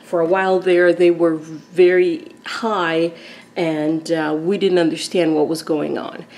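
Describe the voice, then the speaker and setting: A woman's voice: on the low side, flat delivery, unhurried, darkish tone. A woman from Argentina talking through a recipe step by step as she cooks in her home kitchen. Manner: chatty, explains steps as she cooks. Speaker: cooking vlogger. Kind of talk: casual cooking demonstration.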